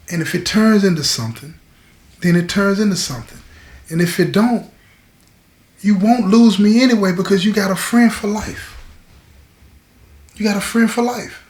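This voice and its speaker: A man's voice: high-pitched, pitched above the performer's own voice. A man voicing a forgiving, self-assured mother in a told story, reassuring, plain-spoken and resolute. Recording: echoey room.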